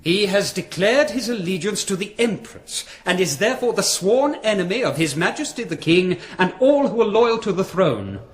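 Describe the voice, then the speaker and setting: A high, very animated male voice: a medieval monk on an errand, formal, earnest and full of worry, in a radio play. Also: normal speed, hardly any pauses. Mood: agitated. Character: earnest, troubled monk envoy, formal and anxious. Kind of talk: radio drama acting.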